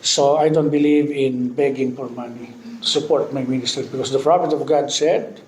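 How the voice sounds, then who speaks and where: A man in his fifties with a Filipino accent preaching a sermon, often breaking in with amen and hallelujah and pausing on fillers. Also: medium pitch, steady tone. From Philippines. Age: 50s. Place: church hall. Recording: echoey church hall with faint background noise.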